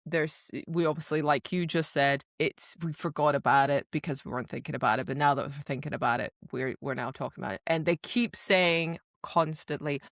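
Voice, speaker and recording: A woman's voice: sits low, brisk, few pauses, no room sound, clean studio sound. A woman co-hosting a podcast in a casual book discussion, with wry, joking asides. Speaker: podcast co-host.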